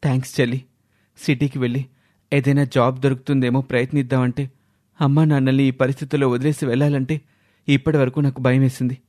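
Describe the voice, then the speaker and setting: A man's voice: mid-range voice, little pitch movement, fast, warm tone, natural voice. A man acting an earnest, pleading young son. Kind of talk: dramatised moral story voice acting.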